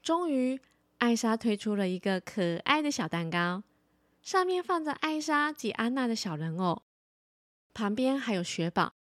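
A woman telling a story for children as a podcast host, in an animated storytelling style. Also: a little high, normal speed, no echo.

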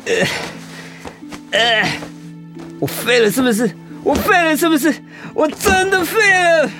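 A man's voice: extremely high pitch, sing-song, measured pace, music in the background, exaggerated voice. A man playing a despairing but stubborn young cancer patient in a radio drama. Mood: desperate.

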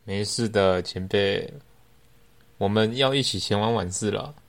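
A man's voice: fairly low pitch, measured pace. A teenage boy playing a sly young man who feigns innocence, in an amateur radio drama. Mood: polite.

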